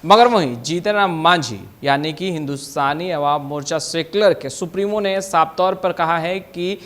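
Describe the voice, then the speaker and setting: Slightly high-voiced male news reporter. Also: normal speed, a bit bright. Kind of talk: news introduction and interview questions.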